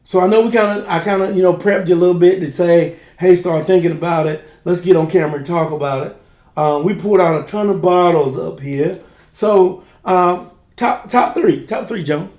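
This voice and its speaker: A man with a slightly raspy, congested voice chatting casually as co-host of a drinks review show. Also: high voice, normal speed, darkish tone. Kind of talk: casual chat. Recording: roomy, echoey sound.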